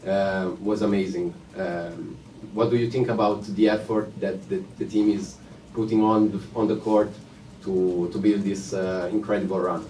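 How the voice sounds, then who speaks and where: Italian-accented male reporter, hesitant, dark tone, far off-mic in a roomy hall. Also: deep voice, flat delivery, moderate pace, slight background noise. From Italy. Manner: hesitant, searching for words. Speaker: journalist. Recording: distant echoey room mic.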